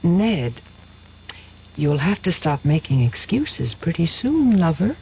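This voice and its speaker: A woman's voice: fairly low pitch, big pitch swings, slow pace, creaky, full character voice. A woman playing a young fiancée who gushes affectionately, in a radio drama. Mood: adoring. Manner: gushing, affectionate. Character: young adoring fiancée, boss's daughter. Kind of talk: 1970s radio drama acting. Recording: dull lo-fi radio broadcast with faint hiss.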